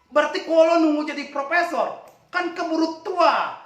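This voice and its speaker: A man's voice: extremely high pitch, lively intonation, normal speed, a bit bright, pitched above the performer's own voice. A man voicing an ugly, big-mouthed young man who plaintively and emphatically protests to the gods in a folk tale. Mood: questioning. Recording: echoey room, distant from mic.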